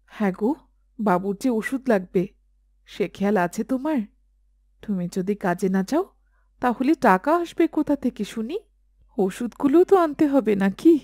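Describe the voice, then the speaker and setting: A woman acts a worried village mother scolding her husband in a horror cartoon. Her voice is a little high and soft-edged. She sounds insistent, in a dry recording. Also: expressive, a little slow, long pauses, no room sound. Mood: nagging, worried. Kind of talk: Bengali horror cartoon dialogue.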